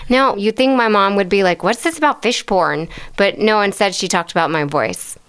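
A woman's voice: medium pitch, moderate pace, a bit bright, slight background noise. A woman from Tennessee co-hosting a casual podcast, friendly, with frequent "uh" and "um". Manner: friendly, uses "uh" and "um" often. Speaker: podcast co-host. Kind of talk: casual chat.

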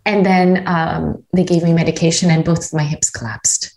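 Earnest, animated woman from Tanzania. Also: low-pitched, moderate pace, vocal fry. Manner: earnest, animated, many restarts and "you know". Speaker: guest. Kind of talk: personal story in a conversation.

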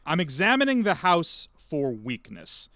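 A man's voice: fairly high pitch, huge pitch swings, unhurried, sharp tone, no echo, clean studio sound. A man chatting casually as a player in a tabletop roleplaying game, slipping in and out of character.

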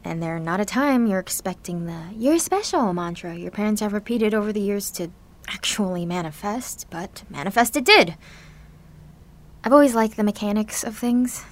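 A woman's voice: mid-range voice, moderate pace, lightly acted. A woman playing an anxious, defiant seventeen-year-old girl with superpowers, telling her story in a sardonic, theatrical audio drama confession. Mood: anxious, confiding. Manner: sardonic, sweary, theatrical, self-interrupting. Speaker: narrator and lead character.

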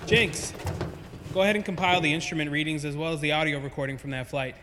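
An actor playing a cocky, wisecracking spaceship pilot in a sci-fi audio drama, breezy and brash. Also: male voice, fairly high pitch, fairly even intonation, quick pace, background noise, close to an everyday voice. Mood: annoyed.

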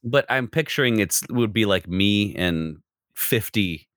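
A man's voice: on the low side, lively intonation, a little slow, fairly bright tone, no echo, studio quality. An American man in casual conversation, talking in a rambling way and often breaking off mid-sentence. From United States. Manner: rambling, self-interrupting. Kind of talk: casual chat.

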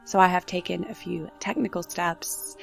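Low, gravelly woman with a nasal voice. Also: steady tone, faint music behind, low-quality recording. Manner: candid, unhurried, personal storytelling. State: nasal from recovering flu. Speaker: podcast host. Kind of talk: solo reflective podcast talk. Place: apartment with road noise outside.

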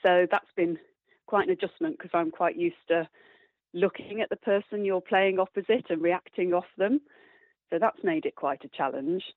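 A woman's voice: fairly low pitch, moderate pace, no echo. A woman giving cheerful, thoughtful answers as an interview guest, heard over a phone-like line. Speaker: interview guest, stage actor. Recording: narrow, phone-like band.

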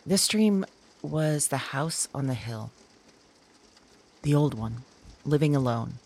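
A woman playing an uneasy, self-conscious dreamer in an audio drama, speaking haltingly into a voice memo and trailing off. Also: extremely low pitch, lively intonation, darkish tone, exaggerated voice. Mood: uneasy, musing.

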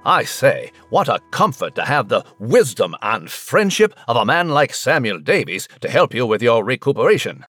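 A man's voice: mid-range voice, sing-song, moderate pace, hardly any pauses, fairly bright tone, faint music behind. A man co-hosting playful, chatty banter in character voices.

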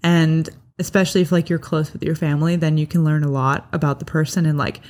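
A bubbly young woman hosts a podcast in an easy, chatty way, full of little fillers as she talks. Her voice sits a touch low for a woman. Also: steady tone, quick pace, darkish tone. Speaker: podcast host. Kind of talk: casual family chat.

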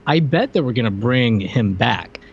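A man's voice: medium pitch, animated, normal speed, vocal fry, husky, lo-fi sound. A man co-hosting a podcast, discussing films casually in loose, slangy, conversational phrasing. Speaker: podcast co-host.

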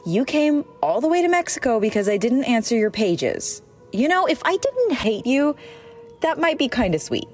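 An exasperated, animated, sharp-tongued nun. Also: female voice, sits high, moderate pace, soft background music. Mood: irritated. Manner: curt, sarcastic. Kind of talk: sci-fi comedy audio drama acting.

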